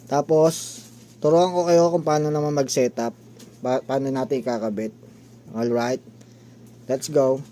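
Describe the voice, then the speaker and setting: A man's voice: medium pitch, steady tone, measured pace, long pauses, dark tone. A man giving a casual how-to tutorial as a vlogger, pointing things out step by step with short interjections. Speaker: DIY vlogger.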